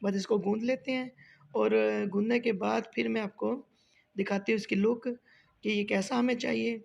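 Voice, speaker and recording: A woman with a sore, hoarse throat hosting a home cooking tutorial, chatty and polite as she talks viewers through each step. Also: medium pitch, dull audio. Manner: chatty, polite, often addresses viewers as sir. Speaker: cooking channel host.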